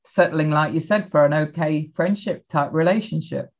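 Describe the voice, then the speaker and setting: A woman's voice: deep voice, moderate pace, darkish tone, muffled recording. A woman hosting a podcast conversation, agreeable and hesitant, with many fillers.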